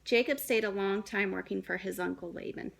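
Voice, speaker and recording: A woman, a young adult, reading a children's story aloud in a dramatic, deliberate way with shifts between emphasis and hush. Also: mid-range voice, steady tone, gravelly. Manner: dramatic, deliberate phrasing with hushed and emphatic moments.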